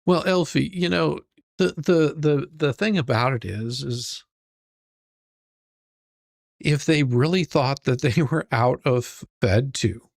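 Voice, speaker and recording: A man's voice: medium pitch, takes it slowly, gravelly, long pauses, no room sound, studio quality. A man hosting his channel, reacting in casual commentary and rambling as he repeats words while he thinks. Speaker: channel host reacting to a stream.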